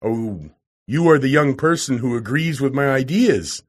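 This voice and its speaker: A man's voice: medium pitch, expressive, measured pace, husky. A man voicing a hot-tempered, arrogant professor in an audiobook, bellowing and mocking as he challenges people. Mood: booming, mocking. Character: huge, bearded, roaring, hot-tempered, arrogant male professor. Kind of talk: audiobook character voice.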